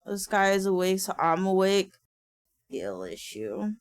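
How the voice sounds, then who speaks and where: A woman streaming from her desk, chatting casually. She sounds tired and a little raspy, swears freely and laughs often. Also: medium pitch, sing-song, measured pace, dry recording, clean studio sound. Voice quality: raspy when sleepy. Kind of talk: casual livestream chat.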